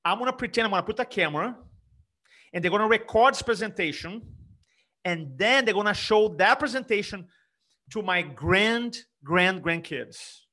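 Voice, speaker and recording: A middle-aged man from Brazil with a Brazilian Portuguese accent, an energetic speaking coach talking emphatically in an interview. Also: high-pitched, normal speed. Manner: energetic, emphatic, frequent restarts. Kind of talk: conversational interview.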